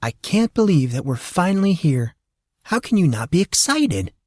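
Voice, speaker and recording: A woman's voice: on the low side, big pitch swings, normal speed, darkish tone, no echo, studio quality, pitched above the performer's own voice. A man voicing a cheerful, doting adult woman who teases and reassures a teenager in an audiobook. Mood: excited. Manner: affectionate, teasing, upbeat.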